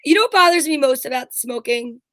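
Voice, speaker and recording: A woman's voice: sits high, expressive, a little fast, a bit bright, no echo, clean studio sound. A middle-aged woman co-hosting a talk show, chatting casually in a blunt, candid, rambling storytelling way. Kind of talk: casual chat.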